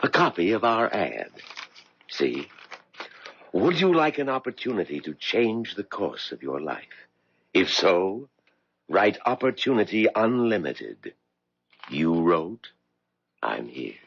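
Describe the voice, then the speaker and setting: An actor playing a mysterious, calmly insistent man who seems to know everything, in a probing, deliberate 1970s radio drama style. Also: low-pitched, creaky, husky, full character voice. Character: mysterious all-knowing man offering second chances, calmly insistent. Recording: muffled vintage radio broadcast with music.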